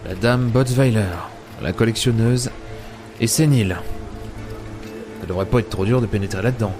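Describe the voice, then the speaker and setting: A man's voice: medium pitch, normal speed, music in the background, natural voice. An actor playing a grumpy, world-weary male adventurer in an audio drama, sardonic and muttering. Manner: grumbling, sardonic, muttering to himself. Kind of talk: French audio drama acting.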